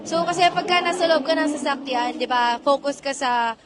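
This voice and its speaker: A woman's voice: high voice, moderate pace, noisy background. A woman with a Filipino accent giving a casual driver's testimonial, conversational and often searching for the right word. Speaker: drift driver. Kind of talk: casual product testimonial, Taglish.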